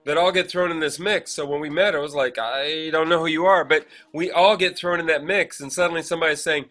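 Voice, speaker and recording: A man with an American accent hosting a casual chat, animated and given to acting out quoted remarks. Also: on the high side, a little fast, faint music behind. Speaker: podcast host, actor and director.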